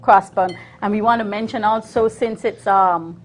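A middle-aged woman casually demonstrating a recipe, explaining it in a hesitant, halting way. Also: mid-range voice. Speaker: guest cook demonstrating a recipe. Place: TV kitchen studio set.

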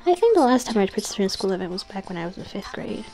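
Woman as a soft, reserved schoolgirl. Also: mid-range voice, very animated, very fast, soft background music. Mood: pensive. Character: reserved, polite, thoughtful schoolgirl former idol.

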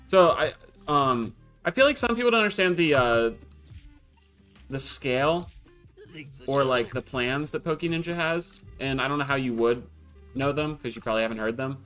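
A relaxed man streams a block-building game from his home setup, chatting loosely with his viewers and a co-player. His talk is casual and crude, and faint game music plays behind him. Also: medium pitch, moderate pace. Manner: laid-back, crude joking, talks to chat. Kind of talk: casual gaming banter with chat.

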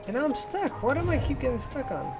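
A man's voice: very high-pitched, wildly swooping pitch, a little fast. A young man giving casual let's play commentary, chatty and goofy with playful exclamations. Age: young adult. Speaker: gaming YouTuber. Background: game music.

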